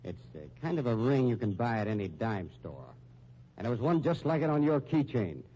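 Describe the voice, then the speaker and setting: Actor as a mellow, dogged police lieutenant. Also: male voice, mid-range voice, very animated, fairly quick, close to an everyday voice. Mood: pressing, accusing. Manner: wry, insistent questioning. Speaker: radio actor. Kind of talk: 1950s radio drama acting. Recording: muffled, noisy old radio recording.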